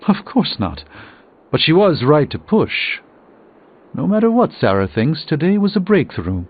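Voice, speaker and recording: A man's voice: on the high side, very animated, unhurried. An actor playing a pompous, refined middle-aged male sorcerer in a video game, speaking formally with dry wit. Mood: dry, wry. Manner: pompous, formal, dryly wry, theatrical. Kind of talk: scripted video game dialogue. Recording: thin phone-like band, music behind.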